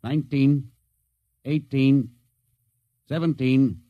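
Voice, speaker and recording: A man playing a stern, authoritative spaceship captain with a quick temper in a 1950s radio drama. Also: mid-range voice, fairly even intonation, highs cut off, slight background noise, natural voice. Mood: brisk, commanding. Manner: clipped, authoritative orders. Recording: 1950s radio broadcast recording.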